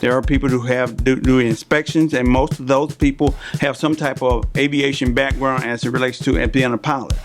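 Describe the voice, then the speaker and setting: Older male interview guest, mid-pitched, explanatory and conversational, faint music underneath. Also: steady tone, brisk, few pauses. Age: 50s or older. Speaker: interview guest, veteran air traffic controller.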